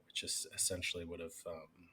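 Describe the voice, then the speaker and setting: A man's voice: low voice, completely flat delivery, a little fast, sharp tone, husky. A middle-aged man from Pennsylvania giving a rambling account of his journalism career in an interview, full of fillers and restarts. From Bucks County, Pennsylvania. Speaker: newspaper editor, guest. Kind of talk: casual interview talk.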